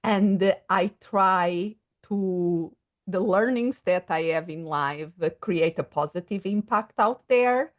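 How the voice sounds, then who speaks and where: A middle-aged woman from Portugal with a Portuguese accent, talking through her ideas thoughtfully in a casual interview and often pausing on fillers. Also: mid-range voice. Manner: thoughtful, searching for words with many fillers. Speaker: guest psychologist and coach.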